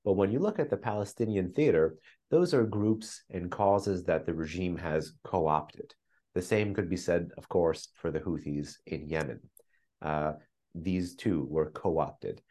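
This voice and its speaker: A man with a very deep voice gives long analytical answers in an interview. He speaks in a measured way, stacking phrases into lists and pausing on "uh" fillers. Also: mellow. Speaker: think tank analyst guest. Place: remote, joining from another city.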